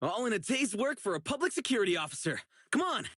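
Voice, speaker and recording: Earnest young officer, swooping delivery. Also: male voice, high voice, big pitch swings, quick pace, sharp tone, no room sound, studio quality, heavily acted. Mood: urgent, resolute. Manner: earnest, righteous, emphatic. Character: earnest, hot-blooded young male public security officer, idealistic and righteous. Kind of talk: video game character voice acting.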